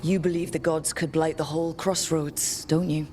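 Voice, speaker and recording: Woman playing a low-pitched, supportive game heroine. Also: flat delivery, normal speed, a little hiss or hum, lightly acted. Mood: encouraging, teasing. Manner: gentle, encouraging. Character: calm, supportive, gently teasing female hero. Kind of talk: naturalistic video game acting.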